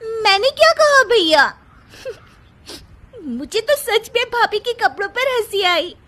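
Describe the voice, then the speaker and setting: Woman playing a squeaky, mocking young sister-in-law. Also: very animated, takes it slowly, bright, forceful tone, full character voice. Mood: amused, defensive. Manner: teasing, sneering, exaggerated. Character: young mocking, giggling college-girl sister-in-law, rude and smug. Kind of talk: Hindi cartoon story dialogue.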